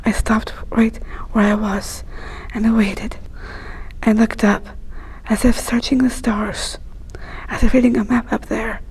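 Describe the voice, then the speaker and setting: Breathy, husky woman reading a story slowly. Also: fairly high pitch, little pitch movement, a little mellow, low-quality recording. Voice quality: breathy, whispery. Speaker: storyteller reading a picture book aloud. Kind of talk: slow, hushed read-aloud storytelling.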